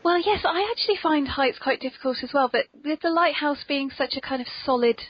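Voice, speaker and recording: High-voiced English woman, playful podcast host. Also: fairly quick. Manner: playful, polite. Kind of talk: whimsical hosting and chat. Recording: dull lo-fi amateur podcast.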